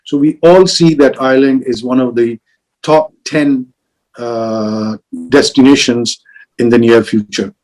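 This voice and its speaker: A man moderating an online travel industry panel on a video call, halting with many fillers and restarts. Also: mid-range voice, steady tone, darkish tone, rough recording.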